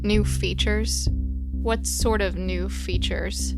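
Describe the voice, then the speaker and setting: A woman's voice: mid-range voice, fairly even intonation, slow pace, creaky, over music. A woman playing a wary, sarcastic young wasteland survivor in an audio drama, blunt and exasperated. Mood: indignant, alarmed.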